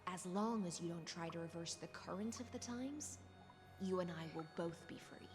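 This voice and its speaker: An actress voicing a languid, menacing sea-born noblewoman in a video game, soft and unhurried, teasing and coolly philosophical. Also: on the low side, over music, natural voice. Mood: cold. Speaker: game character.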